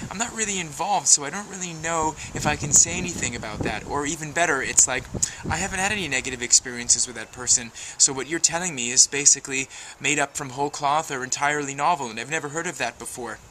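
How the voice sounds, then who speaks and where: A man's voice: a little high, fast, background noise. A man giving a wordy, emphatic, preachy advice monologue as a video blogger.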